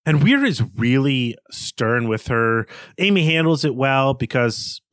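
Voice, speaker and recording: A man co-hosting a book podcast, talking through a chapter in a relaxed, chatty way with many fillers. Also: medium pitch, a little slow, dry recording, studio quality. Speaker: podcast co-host. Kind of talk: casual book discussion.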